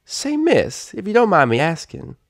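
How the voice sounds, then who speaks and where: Man putting on a high-pitched country filly. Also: wildly swooping pitch, moderate pace, vocal fry, dry recording, studio quality, heavily acted. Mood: curious, polite. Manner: folksy country dialect. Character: small rustic filly, curious, bossy, polite drawl.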